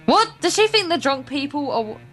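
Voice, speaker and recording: A woman's voice: high-pitched, very animated, fairly quick, fairly bright tone. A woman co-hosting a let's play, reading visual novel narration aloud, stumbling over words and adding wry, casual asides. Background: acoustic guitar game music.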